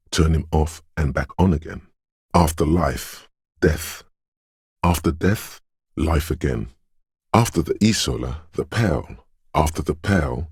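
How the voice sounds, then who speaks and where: An actor playing a cryptic, dreamy inner voice of intuition in a video game, theatrical and ominous, with a gravelly hushed rasp and deliberate dramatic pauses. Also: male voice, rumbling low, no room sound, clean studio sound, heavily acted. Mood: mystical, hushed. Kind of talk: theatrical video game narration, second-person poetic musing.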